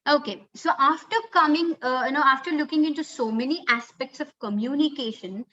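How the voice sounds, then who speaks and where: Bright-voiced Indian English woman lecturing. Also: high voice, a little fast, a little hiss or hum. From Karnataka, India. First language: Kannada. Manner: earnest, instructive, many fillers like "uh" and "okay". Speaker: webinar speaker on communication skills. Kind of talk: online lecture. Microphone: computer mic on a video call. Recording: dull lo-fi webinar audio.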